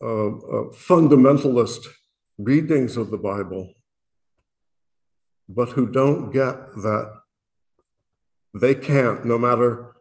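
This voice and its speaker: A man from Illinois with a booming voice, speaking earnestly and self-deprecatingly to a worship meeting, often pausing and restarting his sentences. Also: darkish tone. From Illinois, USA. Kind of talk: reflective spoken message.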